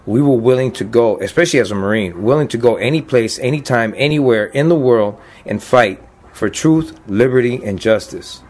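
A man's voice: medium pitch, lively intonation, moderate pace, darkish tone. A man in his fifties, a deported veteran, speaking plainly and earnestly about his life in a documentary interview. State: choked up.

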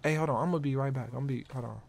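A man's voice: medium pitch, very fast, gravelly. An American man chatting casually in street slang as he roleplays in an online game, often repeating his phrases. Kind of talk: casual in-character street chat. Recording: noisy gaming voice chat.

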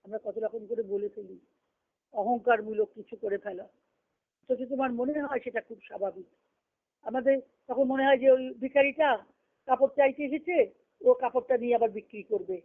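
A woman's voice: on the high side, normal speed, pauses often. A woman giving a devotional lesson as a spiritual teacher, reading and explaining in an instructive way, repeating phrases for emphasis. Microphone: conference call line. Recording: muffled, narrow phone-like conference call.

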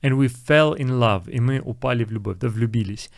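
A man's voice: mid-range voice, moderate pace, creaky, dry recording, studio quality. A young man, an English teacher, casually explaining film lines and repeating English phrases.

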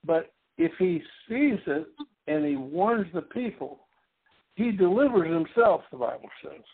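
A man's voice: on the high side, expressive, measured pace. A man from Missouri teaching the Bible in a sermon style, folksy and rambling, with frequent restarts and fillers. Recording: husky voice over a tinny phone-like line.

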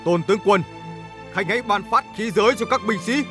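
A man playing a resolute middle-aged emperor in an animated historical drama, with stately, declamatory delivery. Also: high-pitched, brisk, music in the background, natural voice. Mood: rousing, proclaiming. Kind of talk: animated historical drama acting, formal oratory.

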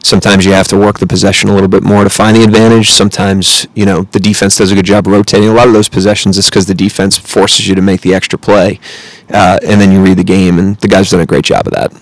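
A man in his thirties, a head coach answering questions at a post-game press conference, measured and analytical with frequent fillers, speaking into a close table mic. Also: low-pitched, flat delivery, no echo, rough recording. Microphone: table mic close in front.

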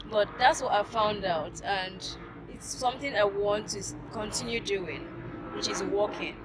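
Middle-aged woman vlogging amid outdoor noise. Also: mid-range voice. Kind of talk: casual motivational vlog chat to camera. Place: outdoors beside a brick building, terrace.

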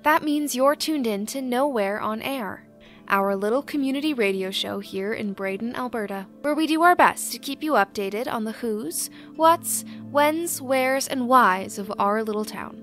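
A woman's voice: a little high, expressive, a little slow, a bit bright, faint music behind. A woman playing a folksy, cryptic small-town radio host, reading a scripted late-night promo with a teasingly ominous manner. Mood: folksy welcome. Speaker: host of a fictional community radio show.

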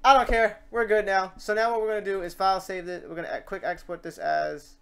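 Creaky-voiced man giving a casual tutorial. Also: high voice, steady tone, brisk, a bit bright. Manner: informal, rambling, muttering to himself while working. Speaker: tutorial host. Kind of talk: casual off-the-cuff software tutorial.

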